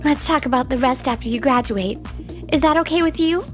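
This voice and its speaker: Very high, prim young female teacher played by woman. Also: brisk, natural voice. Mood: earnest, firm. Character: strict, naive, earnest young female teacher from abroad. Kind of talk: manga story dub dialogue. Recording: background music under the voice.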